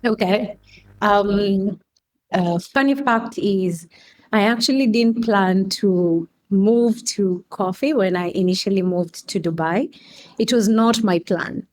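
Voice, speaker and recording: A young adult woman from Kenya telling a podcast host about her path into coffee, speaking slowly with fillers and restarts. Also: mid-range voice, darkish tone. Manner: unhurried, frequent restarts and fillers. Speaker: guest, coffee customer experience manager. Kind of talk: casual interview answers.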